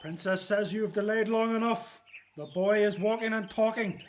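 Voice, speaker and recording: A man's voice: high voice, normal speed, a little hiss or hum, natural voice. A man playing a harsh, imperious Egyptian royal official in a biblical radio drama. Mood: stern, threatening. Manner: imperious, threatening.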